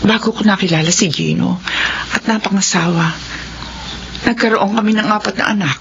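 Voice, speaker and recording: A woman's voice: medium pitch, moderate pace, gravelly, a bit bright, a little hiss or hum. An actress playing a remorseful woman in her sixties in a Filipino radio drama, speaking in an earnest, pleading, confessional manner. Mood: confessing, regretful. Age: 60s. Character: remorseful 60-year-old mother seeking her abandoned children. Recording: muffled radio drama audio with music behind.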